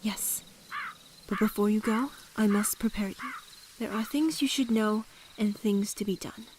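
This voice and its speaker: A woman playing a mysterious forest woman in an audio drama, speaking in a formal, measured, old-fashioned way and calmly giving instruction. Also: mid-range voice, noisy background, lightly acted.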